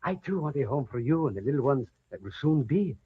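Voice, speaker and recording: An actor playing an eager, devoted soldier and rancher with a Spanish accent in an old-time radio drama. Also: male voice, medium pitch, expressive, fairly quick, husky. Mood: dreamy, hopeful. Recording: dull lo-fi old radio recording.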